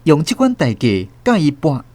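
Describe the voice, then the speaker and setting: Expressive Taiwanese storyteller nephew. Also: male voice, on the high side, moderate pace. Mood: sorrowful, reflective. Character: earnest nephew recalling his family's sorrows. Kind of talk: first-person radio drama narration.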